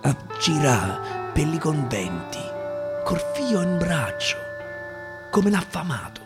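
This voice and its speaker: Male stage storyteller, Roman accent, dramatic dialect recitation, very varied pitch and slow delivery over guitar. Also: big pitch swings. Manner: dramatic, repeating phrases for emphasis. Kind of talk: theatrical recitation of dialect verse. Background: acoustic guitar.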